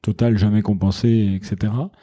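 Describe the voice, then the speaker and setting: Guy with a warm low-key voice, founder chatting on a podcast, earnest, thinks out loud. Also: level tone, a little fast. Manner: earnest, explanatory, thinks aloud mid-sentence. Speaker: founder of a wine company, podcast guest. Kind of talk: casual interview chat.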